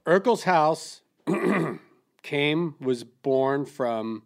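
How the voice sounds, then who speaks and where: A man's voice: fairly high pitch, expressive, extremely slow, gravelly. A man hosting a comedic podcast, taking charge and steering the banter with his guests. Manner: bossy, steers the conversation. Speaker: podcast host.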